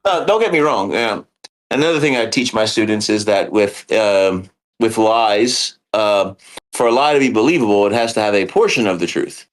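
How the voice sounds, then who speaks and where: A man who teaches elementary history, explaining in earnest with many pauses and fillers in a casual podcast chat. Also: on the low side.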